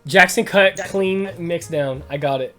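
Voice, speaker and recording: A man's voice: on the high side, measured pace, bright, forceful tone. A man hosting a podcast in casual chat, rambling along with slang and plenty of swearing. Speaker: podcast host. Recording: music playing behind the voice.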